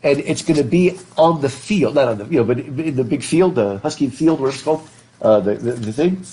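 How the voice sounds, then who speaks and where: Stuffy-nosed professor lecturing a class. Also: male voice, medium pitch, animated, a little fast, warm tone, poor audio. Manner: chatty, laughing, asks the class questions. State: stuffed up nose. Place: classroom with a blackboard. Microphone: laptop webcam.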